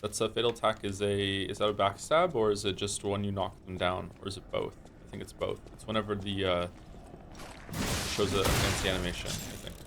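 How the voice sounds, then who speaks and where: A man with a slightly croaky voice streaming a video game, thinking aloud and correcting himself as he reads game text and chats. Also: lowish voice, flat delivery, fairly quick, background noise. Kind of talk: casual gameplay commentary, reading game text aloud.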